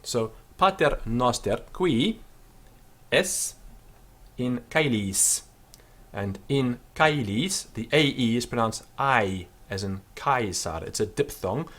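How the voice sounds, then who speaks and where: A man giving an unscripted pronunciation lesson, explaining with fillers and then slowly sounding out words to demonstrate them. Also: mid-range voice, expressive, a bit bright. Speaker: Latin teacher.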